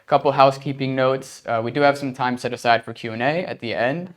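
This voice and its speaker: A man's voice: medium pitch, fast. A man from the United States with an American accent, hosting an informal webinar in a conversational, hesitant way. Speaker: webinar host.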